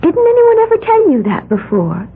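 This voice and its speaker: An actress playing a dreamy, eccentric young woman in an old radio drama, musing earnestly and gently. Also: very high voice, huge pitch swings, normal speed, narrow, tinny audio, full character voice. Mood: admiring. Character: dreamy, eccentric young woman, self-styled priestess of the elements. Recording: thin, band-limited old radio recording with hiss.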